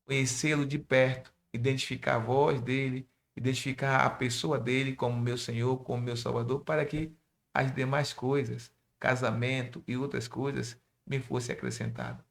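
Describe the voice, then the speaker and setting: A man's voice: medium pitch, moderate pace, vocal fry. A Brazilian man with a Bahian accent, a pastor and missionary telling his testimony in a church interview and speaking directly to young listeners. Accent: Bahian Portuguese. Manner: preachy, addresses youth directly.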